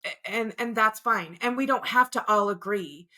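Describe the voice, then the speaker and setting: Bright, impassioned woman podcast co-host. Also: a little high, moderate pace. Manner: impassioned, ranting, with blunt swearing. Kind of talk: casual podcast conversation.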